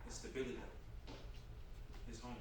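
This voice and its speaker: A man narrating stage directions at a staged reading, in measured, deliberate short phrases. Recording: very echoey room from far off, clear noise.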